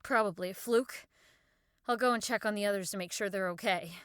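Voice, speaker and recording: A woman's voice: mid-range voice, fairly quick, fairly bright tone, smooth, no room sound, studio quality, natural voice. A woman playing a wary, practical, questioning second-in-command in an audio drama. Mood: dismissive. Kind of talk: audio fiction podcast acting.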